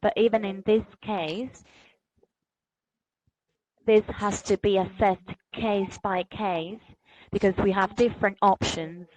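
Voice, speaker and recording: A woman legal expert explaining the law on a webinar, careful and halting, correcting herself. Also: medium pitch, fairly even intonation, darkish tone, no echo, rough recording.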